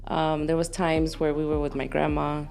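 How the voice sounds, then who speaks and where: Low-voiced, creaky bilingual woman. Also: little pitch movement, moderate pace, music in the background. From Los Angeles, United States. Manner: switches between English and Spanish. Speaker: podcast guest. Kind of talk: candid conversational interview, telling life story.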